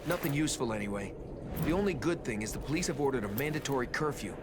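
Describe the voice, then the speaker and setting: Man as earnest, expressive teen turtle leader. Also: medium pitch, quick pace, noisy background, natural voice. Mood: serious. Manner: earnest, sober, commanding. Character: serious, dutiful teenage mutant turtle leader. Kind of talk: video game voice acting.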